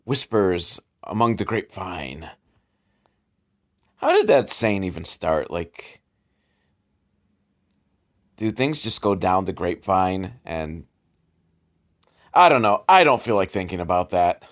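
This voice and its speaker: A man's voice: low-pitched, big pitch swings, slow pace, pauses often. A man hosting a casual comedic commentary on old films, with a sarcastic, profane way of talking. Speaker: host reviewing old educational films.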